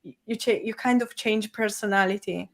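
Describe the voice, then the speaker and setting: A woman's voice: medium pitch, fairly even intonation, moderate pace, creaky. Woman, stops and starts a lot, casual chat. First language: Italian. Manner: hesitant, with many fillers. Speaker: guest creator.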